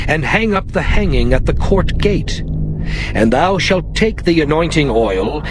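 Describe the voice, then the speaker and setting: A solemn divine male figure, acted by a man, issues measured commands in a scripture drama. The voice sits a little high for a man, with prominent music playing behind it. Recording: voice under loud background music. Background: loud music.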